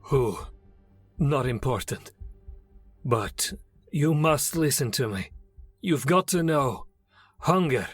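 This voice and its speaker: A man voicing a tormented vampire who warns in a halting, urgent way, in a fan comic dub. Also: medium pitch, lively intonation, husky, faint music behind. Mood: anguished. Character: weak tormented male vampire, cured before.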